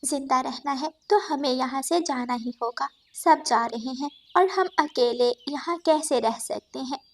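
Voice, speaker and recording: A woman voicing a caring, worried mother bird in an animated moral story. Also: sits high, unhurried. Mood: sad, resigned.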